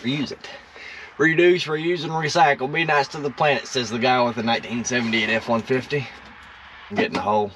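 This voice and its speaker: A young man in his teens talks in a casual vlog style with a goofy, self-mocking manner. His voice sits at an average pitch. The recording has clear background noise with clicks, made while working around a truck. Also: fairly even intonation, brisk. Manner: goofy, self-joking, mimics sounds. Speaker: vlogger restoring an old truck.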